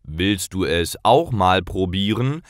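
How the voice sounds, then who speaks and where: A man narrating and voicing Karl, a friendly young man who encourages his friend. The delivery is slow and carefully articulated with lively intonation. The sound is close and dry. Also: sits low, dry recording.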